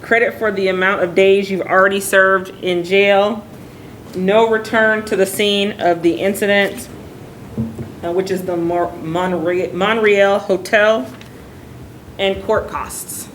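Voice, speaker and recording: A woman's voice: medium pitch, steady tone, slow pace, a bit bright, slight background noise, poor audio. A woman acting as prosecutor in court, formally reading out the terms of a plea offer as a list.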